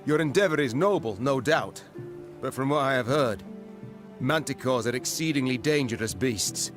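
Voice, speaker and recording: An actor playing a loyal, cautious royal officer in a game, polite, formal and measured. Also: male voice, medium pitch, lively intonation, over music, close to an everyday voice. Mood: courteous, cautioning. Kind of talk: dramatic scripted game dialogue.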